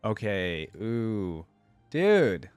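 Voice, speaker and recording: A man's voice: fairly low pitch, very animated, extremely slow, a little mellow, smooth, dry recording, soft background music. A man giving casual, informal reaction commentary, thinking aloud as he goes with plenty of filler words. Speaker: reaction video host.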